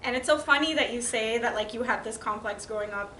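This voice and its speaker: Young woman from Karachi, guest, bright tone, echoey room. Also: fairly high pitch, brisk, slight background noise. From Karachi, Pakistan. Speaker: guest, company co-founder. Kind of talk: casual interview chat.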